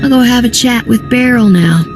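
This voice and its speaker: A woman's voice: fairly high pitch, moderate pace, over music, natural voice. Woman playing a blunt, cool-headed smuggler captain. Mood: defiant, demanding. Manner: blunt, confident. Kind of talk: video game voice acting.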